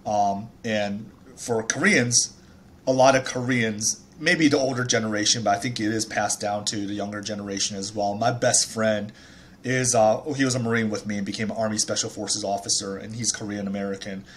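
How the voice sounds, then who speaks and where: A man's voice: lowish voice, fairly even intonation, moderate pace, a bit bright. A man in his thirties from Taiwan, a startup founder telling his life story in a casual interview, chatty with many fillers and restarts.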